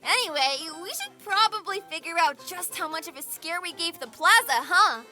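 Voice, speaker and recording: A woman's voice: extremely high pitch, expressive, moderate pace, sharp tone, soft background music, heavily acted. A woman playing an earnest, upbeat young girl in a fantasy audio drama, speaking in an eager, encouraging way.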